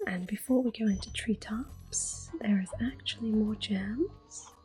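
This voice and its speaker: A woman giving gentle, unhurried ASMR gameplay commentary in a soft, whispered voice, reassuring and close to the microphone. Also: medium pitch, faint music behind. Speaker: ASMR gaming host.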